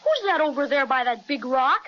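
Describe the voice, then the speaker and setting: A woman's voice: high-pitched, big pitch swings, moderate pace, lo-fi sound. A woman playing an eager, inquisitive young boy in an old children's radio serial. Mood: amazed, curious.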